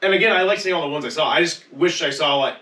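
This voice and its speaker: A man with a bright, forceful, mid-pitched voice talks informally in a podcast group. The room echoes heavily and he sits a bit back from the microphone. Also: fast talker. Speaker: podcast co-host. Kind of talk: casual chat. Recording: very roomy, slightly distant mic.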